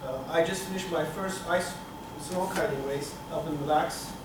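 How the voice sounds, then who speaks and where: A middle-aged man from Turkey giving a technical conference talk, halting with many fillers and restarts, recorded from the back of a conference room. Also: on the high side. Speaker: conference speaker. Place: conference room with projector screen. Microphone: room camera from the back of the hall. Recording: echoey hall, speaker far from mic, room noise.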